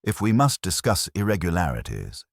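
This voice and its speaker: A dignified, scheming society lady voiced by a male narrator speaks with careful decorum in a mystery drama. The pitch is extremely low but moves in lively, precise phrases. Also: moderate pace, gravelly, no room sound, clean studio sound, full character voice. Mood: composed, precise.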